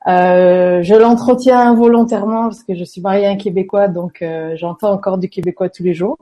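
A woman guest therapist with traces of a Quebec French accent, answering eagerly and a little haltingly. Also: mid-range voice, steady tone, mellow. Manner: eager and enthusiastic, a bit halting. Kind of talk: interview answers.

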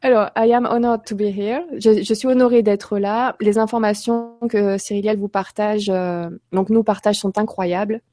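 A woman's voice: medium pitch, fairly even intonation, moderate pace, hardly any pauses, warm tone, no echo, clean studio sound, soft background music. A woman casually hosting a live web show and relaying messages from viewers.

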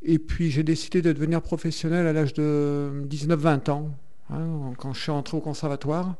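A man's voice: a little high, level tone, moderate pace, warm tone, smooth. A man, a singer, chatting as a radio guest, hesitant with many fillers and restarts. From France. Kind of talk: radio interview chat.

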